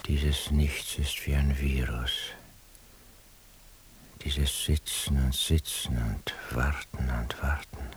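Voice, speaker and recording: A very deep-voiced weary surveillance man thinks aloud through a faint hiss. He speaks slowly and introspectively, half whispering, like a private monologue in a radio play. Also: fairly even intonation. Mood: brooding, weary. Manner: slow, measured, introspective. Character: brooding, weary male surveillance eavesdropper on night watch. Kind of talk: literary radio drama interior monologue. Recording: hissy radio drama recording.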